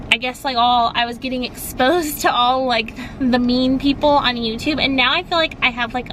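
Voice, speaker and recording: A woman chatting casually as a craft vlogger in a nasal voice, with a bubbly, expressive, gushing manner. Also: high-pitched, noisy background. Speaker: craft and home decor YouTuber. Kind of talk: casual vlog chat.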